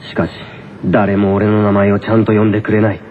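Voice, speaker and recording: An actor playing a resentful teenage younger brother in a comic radio drama, husky and grumbling. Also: male voice, lowish voice, completely flat delivery, fast, a little hiss or hum, natural voice. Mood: brooding. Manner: indignant, grumbling, curtly polite to seniors. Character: touchy, resentful teenage younger brother, male tennis player. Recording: tinny band-limited radio recording.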